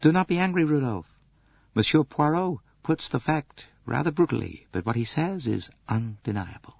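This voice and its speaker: A man's voice: fairly high pitch, lively intonation, normal speed, no room sound, muffled recording, pitched above the performer's own voice. A man voicing an earnest, passionate young American countess in an audiobook, with a composed, incisive tone. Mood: composed, firm. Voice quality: rich, clear-cut, incisive tone. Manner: earnest, quietly emotional.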